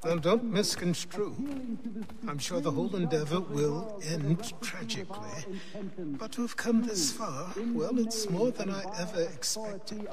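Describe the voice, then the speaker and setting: An actor playing a cautioning, faintly disdainful old mentor in a video game, with formal, measured phrasing. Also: male voice, high voice, lively intonation. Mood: grudging praise. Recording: loud crowd murmur behind.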